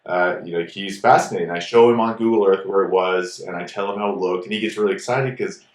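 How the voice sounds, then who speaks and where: A man who grew up in Ecuador tells stories as a podcast co-host, rambling and animated, with "like" in nearly every phrase. His voice sits low. The room around him is echoey. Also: fast talker. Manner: rambling and animated, many "like" fillers. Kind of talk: casual storytelling chat.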